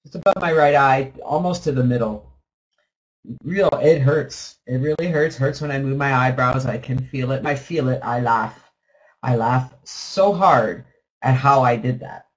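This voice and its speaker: An upbeat man talks on a live webinar call, chatty and rambling, often restarting his sentences. His voice sits at an ordinary middle pitch for a man, with a slight lingering cough. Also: unhurried, low-quality recording. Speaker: webinar host.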